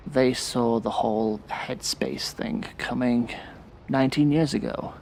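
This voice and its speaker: An actor playing a weary, resentful man of about thirty in an audio drama, speaking in a bitter, sardonic and deliberate way. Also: mid-range voice, creaky, a little hiss or hum. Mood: resigned. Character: weary, resentful thirty-year-old man confiding in a therapist. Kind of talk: audio drama acting, monologue.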